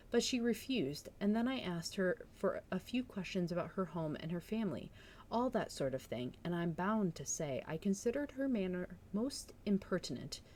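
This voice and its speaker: A woman's voice: on the low side, a little mellow, a little hiss or hum, close to an everyday voice. A woman voicing an opinionated, gossipy village busybody in a storybook read-aloud, stern, solemn and reproachful.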